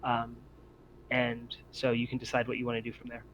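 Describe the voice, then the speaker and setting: Male game master, medium pitch, hesitant conversational explaining, lo-fi sound with faint hiss. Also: completely flat delivery, fairly quick, fairly bright tone. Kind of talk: explaining scenes and rules conversationally. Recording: dull lo-fi mic with faint noise.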